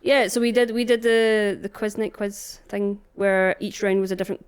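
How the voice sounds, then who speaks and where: A woman with a crisp, clean voice at medium pitch chats casually as a podcast co-host. She talks informally among a group. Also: steady tone, moderate pace.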